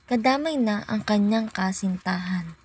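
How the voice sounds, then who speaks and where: A woman's voice: mid-range voice, unhurried, a little mellow, breathy edge. A young woman with a Filipino accent reading scripted narration for a student radio drama. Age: young adult.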